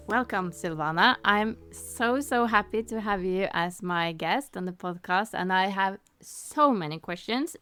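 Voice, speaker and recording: A woman hosting a podcast interview who asks her guest questions in a friendly, halting way with fillers. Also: medium pitch, a bit bright. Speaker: podcast host.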